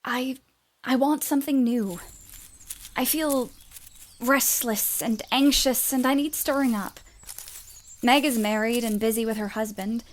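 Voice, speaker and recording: A woman's voice: on the high side, a little slow, pauses often, lightly acted. A woman playing a spirited, restless young woman writer, blunt but caring, in a period audio play. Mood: anxious, restless.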